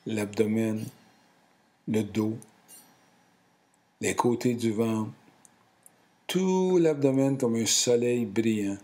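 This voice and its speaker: Slow-paced man guiding a meditation. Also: lowish voice. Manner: calm, soothing, deliberate with short phrases. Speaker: guided meditation instructor. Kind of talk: guided relaxation narration.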